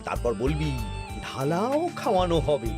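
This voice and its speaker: A man's voice: a little high, big pitch swings, unhurried. A man playing a greedy, scheming royal minister in a comedy cartoon, with a wheedling, conspiratorial, theatrical delivery. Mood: sly, conspiratorial. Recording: music behind the voice.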